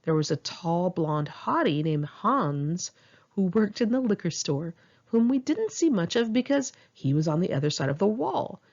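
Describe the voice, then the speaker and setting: A woman's voice: on the low side, big pitch swings, normal speed, darkish tone. A woman narrating her own memoir informally, with candid, self-mocking, wry delivery and chatty asides to the listener. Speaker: author reading own memoir. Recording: dull, muffled lo-fi home recording.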